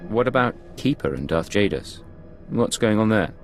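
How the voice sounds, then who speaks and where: Low, lively, assured secret agent. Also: male voice, moderate pace, soft background music. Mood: cool, probing. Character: male imperial secret agent, cool, probing, confident. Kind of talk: scripted video game dialogue.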